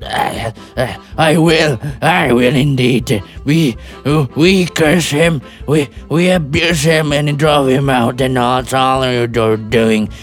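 Man acting a slow, blustering, stammering old father. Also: mid-range voice, close to an everyday voice. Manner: stammering, repetitive, exasperated. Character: blustering, flustered father of a grown-up daughter. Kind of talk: theatrical stage farce acting.

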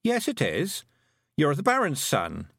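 A man's voice: on the high side, huge pitch swings, a little slow, vocal fry, a bit bright, no room sound, clean studio sound, pitched above the performer's own voice, exaggerated voice. A man voicing a practical, determined young girl heroine, earnest and firm, in a storybook reading.

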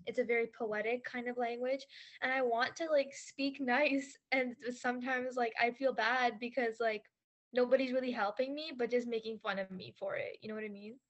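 A woman's voice: a little high, fairly even intonation, normal speed. A young woman whose first language is Urdu, co-hosting a podcast and talking earnestly in long, rambling sentences full of "like". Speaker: podcast co-host. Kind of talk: casual podcast chat. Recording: dull lo-fi audio.

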